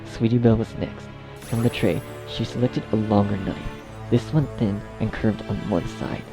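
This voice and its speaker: A man's voice: fairly low pitch, steady tone, moderate pace, over music. A man narrating a scripted horror story.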